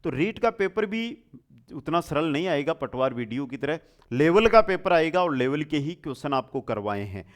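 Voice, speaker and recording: High-voiced man teaching. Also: very animated, moderate pace. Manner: repeats questions and answers, addresses students familiarly. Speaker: online exam coaching teacher. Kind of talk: quiz-style lesson, asking questions and giving answers.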